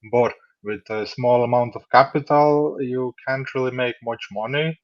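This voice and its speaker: A man in his thirties from Latvia, answering interview questions in a relaxed, rambling way with many fillers. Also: medium pitch, normal speed, dry recording. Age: 30s. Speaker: funded trader, guest. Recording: dull dry lo-fi audio.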